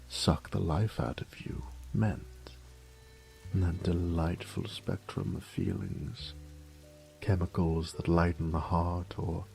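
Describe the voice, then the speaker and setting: A man's voice: low voice, creaky, darkish tone, exaggerated voice. A man playing an ancient, haughty, predatory vampire lord in an audio drama, with a pompous, condescending, theatrical drawl and whispered asides. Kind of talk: scripted roleplay audio drama.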